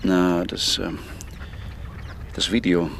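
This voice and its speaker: A man's voice: sits low, fairly even intonation, slow pace, vocal fry, slight background noise, exaggerated voice. An actor playing a flustered, evasive father in a radio play, hesitant and faltering. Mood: uneasy. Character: flustered, evasive father hiding his snooping.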